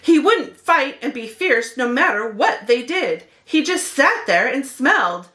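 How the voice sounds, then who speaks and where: A woman's voice: sits high, sing-song, measured pace, bright, forceful tone. A woman narrating a children's picture book expressively, as a read-aloud host speaking to young listeners.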